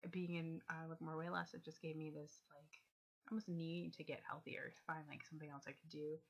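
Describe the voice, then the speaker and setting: A woman in her thirties chatting casually in a vlog, rambling with many fillers, her voice going hoarse from a cold. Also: fairly low pitch, a bit bright. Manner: rambling, lots of ums and likes. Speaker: vlogger.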